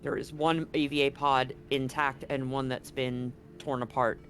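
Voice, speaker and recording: A man's voice: mid-range voice, flat delivery, a little slow, fairly bright tone, soft background music. A man running a tabletop game, explaining scenes informally and directly to his players. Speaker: game master. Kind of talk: improvised tabletop game narration.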